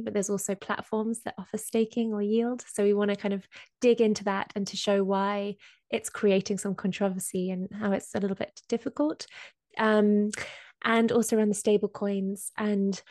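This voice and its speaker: A woman with a slight cold, explaining finance topics in a casual podcast chat with many pauses and ums. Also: mid-range voice, a little mellow, no echo, studio quality. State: has a cold. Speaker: co-host explaining crypto.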